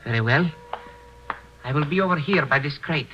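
A man playing a stern, formal foreign police sergeant in a 1950s radio drama, speaking in a stiff, measured way. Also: fairly high pitch, animated, pushed and bright, faint music behind. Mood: stern, wary. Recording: muffled, noisy old radio broadcast.

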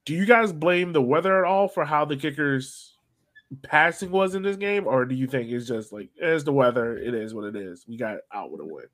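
A man's voice: medium pitch, animated, normal speed, a bit bright. A man hosting a podcast, chatting casually about sports and putting questions to his co-hosts. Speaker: podcast host.

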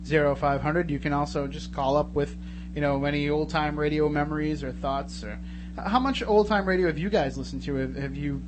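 Higher-voiced male radio host, noisy recording. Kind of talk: casual live radio interview. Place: radio studio. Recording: radio studio, loud noise and hum.

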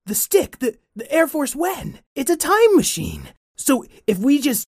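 Creaky-voiced, theatrical male storyteller. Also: very high-pitched, huge pitch swings, unhurried, dry recording, studio quality. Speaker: host and storyteller. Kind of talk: improvised kids' adventure story, narrated and acted.

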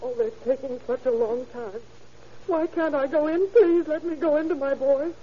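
A woman's voice: high voice, moderate pace, lightly acted. A woman playing a devoted, sentimental mother in an old-time radio drama. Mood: anguished, pleading. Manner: gentle, sentimental. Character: tender, doting, fussing mother. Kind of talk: 1940s radio acting. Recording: muffled old radio recording.

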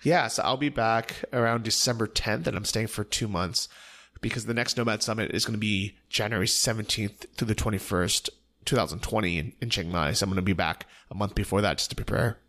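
A man's voice: on the low side, a little fast. A man hosting a podcast, chatting casually and asking his guest follow-up questions. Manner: relaxed, conversational, asks follow-up questions. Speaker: podcast host. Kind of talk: casual interview.

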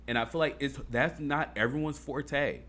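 A man's voice: medium pitch, moderate pace, a bit bright. A man in casual chat, talking earnestly and rambling, with fillers and swearing.

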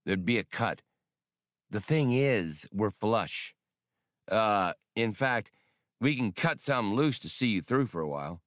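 A man playing a brash, low-voiced smuggler. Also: lively intonation, takes it slowly, fairly bright tone, dry recording, clean studio sound. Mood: awkward, persuasive. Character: Han, brash cocky male smuggler, stubborn and defensive. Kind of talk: audiobook character dialogue.

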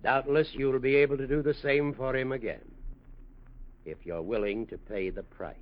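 An actor playing a stern, disapproving male judge in a 1940s radio drama, formal, measured and admonishing. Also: mid-range voice, natural voice. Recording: dull old radio recording.

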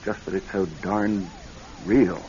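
An actor playing a restless, defensive husband who skydives, in a radio drama style, brushing off worry impatiently. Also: male voice, sits low, little pitch movement, takes it slowly, dark tone. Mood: reassuring. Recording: muffled radio drama, faint noise.

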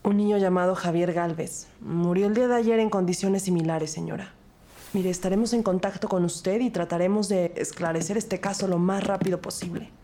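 A woman acting a composed, sympathetic police detective and narrator in an audio crime drama, measured and formal. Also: fairly low pitch, fairly even intonation, mellow, slight background noise, lightly acted. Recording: music bed behind the voice.

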